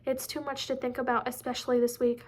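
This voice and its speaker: A woman's voice: high voice, flat delivery, fast talker, darkish tone, husky. A woman reading the lines of an earnest, worried teenage girl in a storybook, in close to her own voice. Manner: earnest, sighing.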